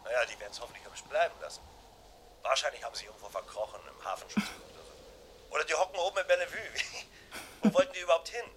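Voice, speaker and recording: Quick, animated, affectionate caller. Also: male voice, on the high side. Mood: chatty, affectionate. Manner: chatty, easygoing. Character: chatty, affectionate man phoning his sweetheart. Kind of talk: German radio crime drama acting. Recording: faint background noise and ambience.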